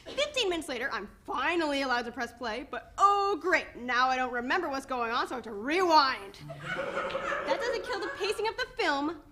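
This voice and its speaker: A woman's voice: high-pitched, sing-song, normal speed, noisy background. A woman playing an exasperated, sarcastic pregnant teenage girl in a dramatic reading of a stage play. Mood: exasperated, angry. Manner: sarcastic, scolding.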